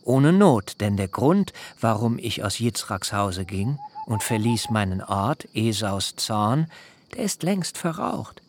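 Ein Schauspieler spielt in einem literarischen Hörspiel einen alternden, beharrlichen Hirten, der getragen und in altertümlichen Wendungen spricht. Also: male voice, fairly low pitch, sing-song, a little hiss or hum. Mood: reproachful, proud. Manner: measured, solemn, archaic phrasing. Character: aging herdsman, long-serving nephew, deliberate and insistent. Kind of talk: literary radio drama acting.

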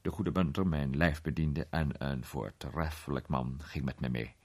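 A man playing an urbane gentleman detective who recounts his case with measured, suspenseful pauses in a radio play. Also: rumbling low, fairly even intonation, husky, heavily acted. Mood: composed, confiding. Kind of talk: dramatised storytelling in a radio play.

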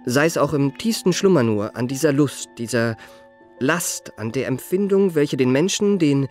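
Actor as polished, courteous young gentleman impostor. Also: mid-range voice, lively intonation, normal speed, lightly acted. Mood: rapt. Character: eager, well-mannered young male impostor posing as a marquis. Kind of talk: German radio play acting and narration. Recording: radio play with faint music.

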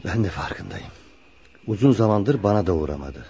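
A respectful, reassuring young man in a radio play. The voice is mellow and husky with animated intonation. The recording sounds muffled. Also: sits low, moderate pace, exaggerated voice. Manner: courteous, hospitable, soothing. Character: kind, respectful, reassuring young best friend. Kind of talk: Turkish radio theatre acting. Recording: dull lo-fi radio drama recording.

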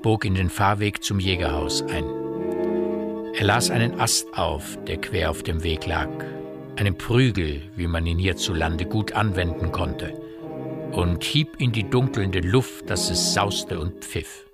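An actor narrating a dark literary radio play as a sober, detached, articulate storyteller. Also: male voice, deep voice, a little slow, over loud music. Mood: measured, detached.

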